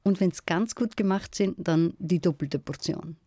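A middle-aged woman from South Tyrol with a South Tyrolean accent, a guest in a radio interview, speaking in a thoughtful, measured, storytelling way. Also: fairly low pitch, dark tone, husky, dry recording, studio quality. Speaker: guest, folklorist.

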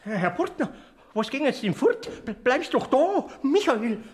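An actor playing a pleading, sing-song Bavarian death figure in a radio play, with a Bavarian accent. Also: male voice, very high-pitched, sing-song, measured pace, a little hiss or hum, exaggerated voice.

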